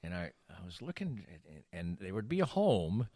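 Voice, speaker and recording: A man's voice: low voice, big pitch swings, moderate pace, dark tone, no echo, studio quality. An older man hosting a casual podcast, telling anecdotes in a rambling way and restarting his sentences mid-thought. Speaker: podcast host.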